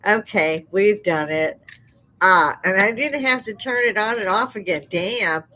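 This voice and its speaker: Loud, laughing woman host. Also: medium pitch, unhurried. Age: older. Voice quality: loud speaking voice. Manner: loose, laughing, chatty. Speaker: live stream host. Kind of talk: casual live-stream chat. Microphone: desk microphone.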